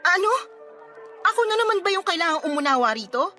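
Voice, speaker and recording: High, sharp-voiced angry Filipino girlfriend. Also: sing-song, fairly quick, soft background music, exaggerated voice. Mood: indignant. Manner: confrontational, sarcastic. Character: angry, hurt young girlfriend breaking up with her boyfriend. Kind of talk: radio drama acting.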